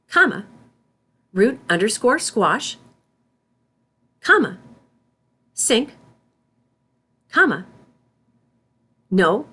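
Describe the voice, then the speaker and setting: A woman instructor reading scripted tutorial narration in a deliberate, step-by-step manner. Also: medium pitch, fairly bright tone, breathy edge. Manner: deliberate, spells out terms symbol by symbol.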